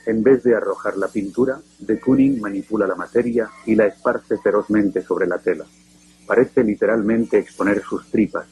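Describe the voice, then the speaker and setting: A man narrating a documentary in a grave, deliberate scripted voice. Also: lowish voice, steady tone, darkish tone, a little hiss or hum.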